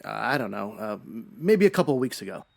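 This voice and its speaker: A man playing a grudging, evasive working-class suspect in a radio drama, gravelly and defensive. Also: on the low side, very animated, fairly quick, heavily acted. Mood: groggy, irritated. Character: surly, evasive working-class husband roused from bed.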